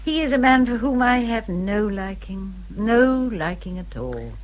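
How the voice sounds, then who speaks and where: Actress as a stately English gentlewoman, highly expressive. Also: a little slow, lo-fi sound, natural voice. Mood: disapproving. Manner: stately, emphatic, confiding. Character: worldly, judgmental older gentlewoman, protective confidante. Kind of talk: period radio drama acting.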